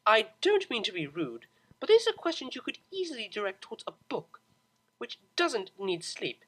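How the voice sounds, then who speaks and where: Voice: mid-range voice, huge pitch swings, moderate pace, fairly bright tone, voice pushed up. A performer voicing a refined, awkwardly polite and impatient young mare in an audiobook. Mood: exasperated. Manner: polite, prim, exasperated under courtesy. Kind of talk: audiobook character voice.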